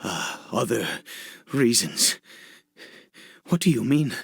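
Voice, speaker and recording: An actor playing a desperate, obsessive father in a video game, speaking in a halting, stammering, nervous mutter. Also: mid-range voice, sing-song, vocal fry, husky, no echo, full character voice. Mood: shaken, resolving. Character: desperate, obsessive father brewing medicine for his son. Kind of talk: dramatic video game voice acting. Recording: dialogue over background music.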